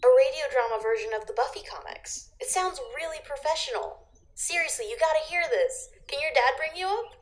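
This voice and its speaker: A woman acts out a helpful young female friend in an audio drama. She has a high voice and a casual, teasing way of nudging people along. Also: expressive, brisk. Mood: eager, teasing.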